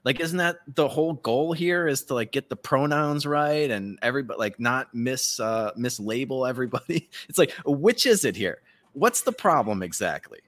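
A man's voice: mid-range voice, lively intonation, moderate pace, a bit bright. Irreverent, rambling man on a podcast. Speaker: podcast co-host. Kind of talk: casual comedic podcast banter.

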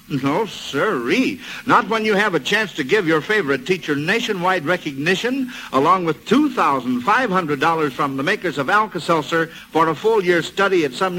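An American man hosts a vintage 1940s radio show in a bright, slightly high voice. He sells eagerly to young listeners with folksy exclamations. The old broadcast carries faint noise. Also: poor audio. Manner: folksy, eager salesmanship addressed to children. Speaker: quiz show host. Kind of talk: 1940s American radio hosting and sponsor pitch.

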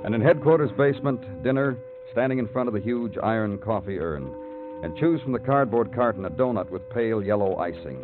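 A man's voice: fairly low pitch, lively intonation, fairly quick, over music, natural voice. Man acting a weary hard-boiled detective, tinny old radio. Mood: brooding. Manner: clipped, world-weary delivery. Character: weary, terse big-city police detective narrating his case. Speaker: narrator and lead actor. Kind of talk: 1950s radio crime drama, poetic hard-boiled narration.

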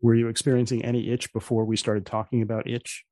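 A man hosting a podcast interview, with a deliberate, deadpan way of asking and presenting. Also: mid-range voice, no room sound. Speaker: podcast host and magazine editor. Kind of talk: podcast interview with scripted introduction.

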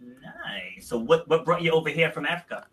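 A male podcast host speaks in a bright, somewhat high voice, recorded from a little distance on a computer mic. He chats casually and stumbles into self-corrections that he laughs off. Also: moderate pace. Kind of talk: casual video podcast interview.

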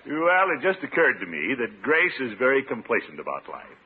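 An actor playing a pompous, blustery middle-aged American water commissioner in a classic radio comedy, with a theatrical manner. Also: male voice, medium pitch, wildly swooping pitch, normal speed, a loud crowd. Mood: chatty, grumbling. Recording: tinny, noisy old radio recording.

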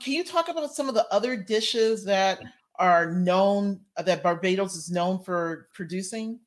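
A woman's voice: medium pitch, measured pace. A woman hosting a food podcast, reading a polished introduction and then chatting with her guest. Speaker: podcast host. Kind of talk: scripted intro then interview chat.

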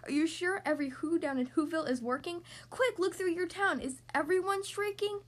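A woman's voice: very high voice, fairly quick. A woman voicing a big, loyal, kindly male elephant in a storybook, earnest and emphatic. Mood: encouraging, urgent.

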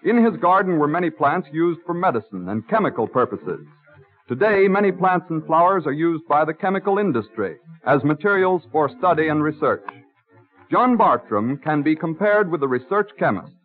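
A man with an American accent announcing in a formal, measured, scripted 1930s radio style. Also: on the high side, animated. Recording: tinny, band-limited 1930s radio broadcast.

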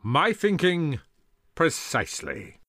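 A formal, commanding general rallies his officers in a raised voice, played by a male audiobook narrator. The voice swings freely in pitch and sounds close and dry. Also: big pitch swings, slow pace, fairly bright tone, dry recording, full character voice. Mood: probing. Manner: formal, rousing speech.

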